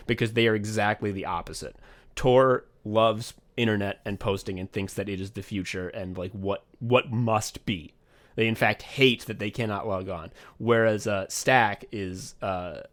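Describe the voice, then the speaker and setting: A young man chatting casually as a podcast co-host, rambling excitedly and swearing often as he walks through his own thinking. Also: fairly low pitch. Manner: rambling, profane, excitable, acts out his own thought process. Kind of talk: casual behind-the-scenes chat.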